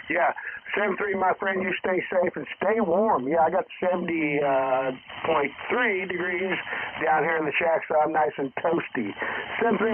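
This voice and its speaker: A man talking over ham radio in an affable, slightly halting way, with casual operator chat. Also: fairly high pitch. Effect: radio transmission. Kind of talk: friendly radio sign-off chat. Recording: narrow radio link with clear static.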